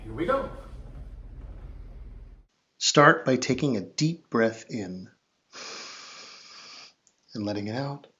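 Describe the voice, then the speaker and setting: A man teaching young children, guiding them through an acted-out story in an expressive, theatrical, instructive way, recorded in his living room. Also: medium pitch, slow, long pauses, highs cut off. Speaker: drama teacher.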